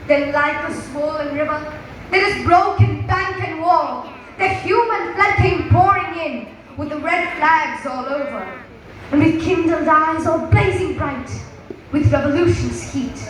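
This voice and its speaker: A teenage girl giving a dramatic, declamatory poetry recitation as a student competitor, with deliberate drawn-out phrasing. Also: sits high. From Kerala, India. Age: teens. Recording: echoey hall, distant mic, faint noise.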